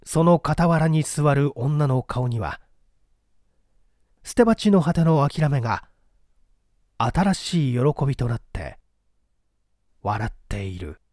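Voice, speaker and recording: A man reading a mystery story aloud as dramatic literary narration, with deliberate, theatrical phrasing. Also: mid-range voice, lively intonation, darkish tone, no room sound, studio quality. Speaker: story reader.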